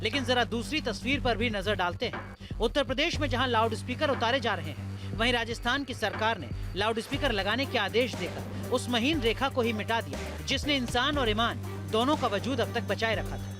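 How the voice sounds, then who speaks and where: A news narrator delivers a scripted report with dramatic, rhetorical questioning. The pitch is moderate, and music plays clearly underneath the voice. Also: animated, normal speed. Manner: rhetorical, pointed. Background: background music.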